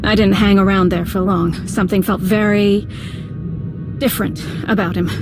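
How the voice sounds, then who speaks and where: A woman's voice: mid-range voice, fairly even intonation, normal speed, natural voice. An actress playing a guarded, blunt former Jedi woman protective of her family in a video game. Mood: grave, haunted. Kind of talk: scripted video game voice acting. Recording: loud music under the voice.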